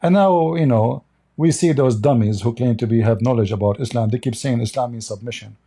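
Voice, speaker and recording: A man whose first language is Arabic talks in English with a dark, mellow tone and a husky edge. He is blunt and scornful, and he often stumbles over his sentences and repeats words. He delivers an off-the-cuff commentary monologue. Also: medium pitch, brisk. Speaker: online commentator.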